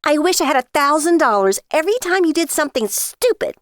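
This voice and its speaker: Give the wife a high, emphatic voice , acted out by a woman narrator. She is a sharp-tongued, volatile character in an audio story, heard in a dry recording. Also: sing-song, fairly quick, no room sound. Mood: angry. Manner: exclamatory, emphatic.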